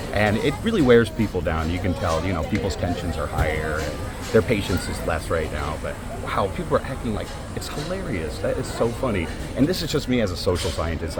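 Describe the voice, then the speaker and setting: A soft-spoken man chatting casually to camera as a vlogger, rambling and laughing softly while kneeling in a crowded outdoor park. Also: on the low side. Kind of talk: casual vlog chat. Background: loud crowd noise and park music.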